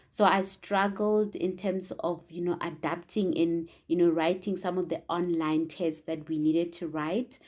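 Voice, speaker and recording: A young woman with a South African accent whose first language is Sepedi speaks in a low, steady voice. She answers interview questions about her career earnestly and thoughtfully, with frequent small fillers as she reflects. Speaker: internal auditor.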